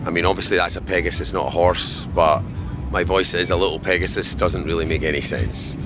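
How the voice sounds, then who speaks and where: A hoarse man making wry, deadpan jokes as he vlogs outdoors at a festival. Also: low-pitched, fairly quick, over music. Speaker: vlogger. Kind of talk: joking vlog to camera. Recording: outdoor noise behind voice.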